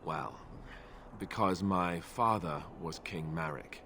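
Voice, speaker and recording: An actor playing an awkward, self-doubting young warrior in a fantasy video game, speaking hesitantly and sheepishly. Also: male voice, low voice, takes it slowly, bright, forceful tone, a little hiss or hum, exaggerated voice. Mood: hesitant confession. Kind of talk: video game dialogue acting.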